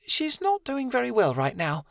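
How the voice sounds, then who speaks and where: A man voicing a soft-spoken young man who mumbles soothingly. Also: extremely high pitch, wildly swooping pitch, moderate pace, vocal fry, dry recording, clean studio sound, voice pushed up, heavily acted.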